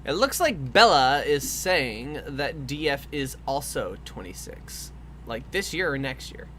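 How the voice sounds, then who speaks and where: A man's voice: mid-range voice, sing-song, unhurried, bright, forceful tone. A man in his twenties chatting casually and playfully while drawing on a stream. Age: 20s. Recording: clear background noise and hum.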